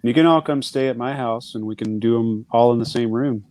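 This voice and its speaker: A man's voice: medium pitch, a little fast, darkish tone. A man speaking casually as a panelist on a video call. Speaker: panelist, podcast co-host.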